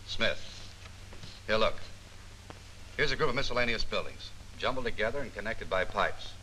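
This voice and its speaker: An actor playing a confident, meticulous Army photo interpreter in a 1940s training film, explaining things precisely. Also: male voice, lowish voice, normal speed, bright, forceful tone, rough recording. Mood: self-assured. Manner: precise, self-assured, explanatory. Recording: 1940s film soundtrack with faint hiss.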